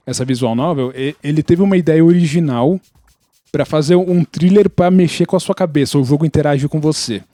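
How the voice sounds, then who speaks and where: A man's voice: fairly high pitch, mellow, dry recording. A Brazilian man explaining his opinions in casual podcast chat, blunt, opinionated and sometimes foul-mouthed. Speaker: podcast participant.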